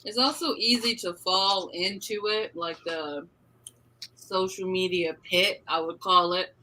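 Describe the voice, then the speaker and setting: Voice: mid-range voice, steady tone, a little slow, bright, forceful tone, a little hiss or hum, low-quality recording. A guest co-host joining a casual live-stream chat, teasing and joking.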